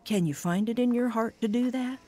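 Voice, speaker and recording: Actress as a husky, motherly older woman. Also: on the high side, brisk, natural voice. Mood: gentle, encouraging. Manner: gentle, nurturing, counselling. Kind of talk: audio drama dialogue.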